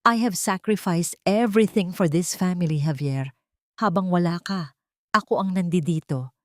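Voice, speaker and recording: A woman's voice: lowish voice, moderate pace, dry recording, natural voice. A manipulative wealthy wife speaks in a honey-sweet voice that is raised and pleading as she plays the victim, put on by a woman narrator. Her tone is syrupy and self-pitying. The audio-drama recording is dry and close. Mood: aggrieved. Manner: wounded, self-pitying pleading mixed with English.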